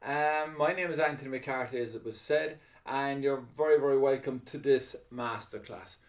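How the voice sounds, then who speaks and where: Excitable Irish man pitching a webinar. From Ireland. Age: middle-aged. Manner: fast, excitable, upbeat salesman delivery. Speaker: webinar presenter.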